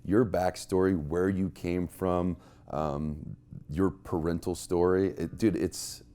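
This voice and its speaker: A man's voice: sits low, flat delivery, slow, warm tone. A man hosting an interview show, effusive and casual, calling his guest dude and man. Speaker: podcast host. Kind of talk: interview chat.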